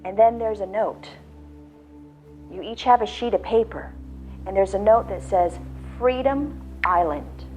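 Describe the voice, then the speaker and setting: A woman leading a guided visualization, speaking slowly and soothingly with soft pauses. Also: medium pitch. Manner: slow, soothing, drawn-out phrasing with soft pauses. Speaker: guided meditation leader. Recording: slightly dull lo-fi audio with faint background music.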